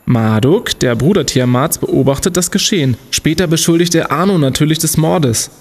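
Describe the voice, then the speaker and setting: An actor playing a clever, confident young student sleuth in a comic radio play, explaining things in a deliberate, declamatory way. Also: male voice, on the high side. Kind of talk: German comedic audio drama acting.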